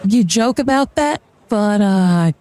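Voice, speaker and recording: A woman's voice: medium pitch, animated, slow, a little mellow. A young woman streamer chatting casually and joking through a card reading on a live stream. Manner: rambling and joking, with fillers and short laughs. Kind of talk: casual livestream chat during a card reading.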